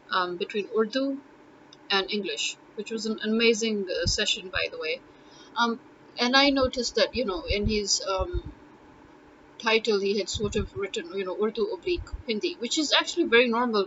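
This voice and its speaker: A woman's voice: medium pitch, fairly bright tone, dull audio, slight background noise. A woman who teaches Urdu, talking casually and thinking aloud, with many ums and uhs. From Pakistan. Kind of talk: casual spoken blog monologue.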